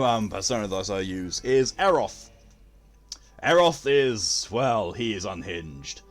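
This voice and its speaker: A man chatting on a live game stream, with formal wording and a theatrical way of talking. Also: fairly low pitch.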